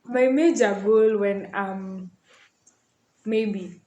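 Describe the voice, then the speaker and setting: A woman's voice: mid-range voice, extremely slow, warm tone, muffled recording. A woman of about twenty with a Kenyan accent, talking casually as a podcast panelist. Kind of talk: casual group chat. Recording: echoey room.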